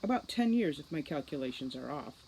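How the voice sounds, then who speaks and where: A woman's voice: sits low, big pitch swings, brisk, dark tone, breathy edge, exaggerated voice, low-quality recording. Woman voicing a smug, flippant male centaur techie. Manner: glib, flippant. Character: cheeky male centaur tech expert, smug.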